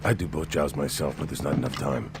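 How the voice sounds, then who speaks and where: Very low-voiced ruthless mercenary. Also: male voice, animated, gravelly, noisy background, exaggerated voice. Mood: grim, resolute. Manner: grim, deliberate, fatalistic delivery. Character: world-weary, ruthless male mercenary zealot, menacing and fatalistic. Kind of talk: video game cutscene acting. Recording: dramatic music loud behind the voice. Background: loud music.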